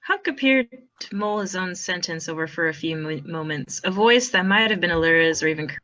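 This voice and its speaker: A woman's voice: fairly low pitch, brisk, sharp tone. A woman narrating fan fiction as a storyteller, in a conversational, wry way.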